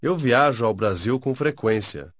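Male drill speaker, careful slow enunciation, varied pitch, dry studio. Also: animated, dry recording. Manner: slow, careful, syllable-by-syllable repetition. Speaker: native-speaker model in a language course. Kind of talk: scripted phrase drills.

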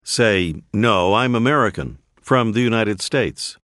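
A man narrating a scripted language course, clearly prompting the listener to repeat phrases. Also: on the low side, lively intonation, takes it slowly, a bit bright, no room sound. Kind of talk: scripted audio lesson instructions. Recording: dry studio voice-over.

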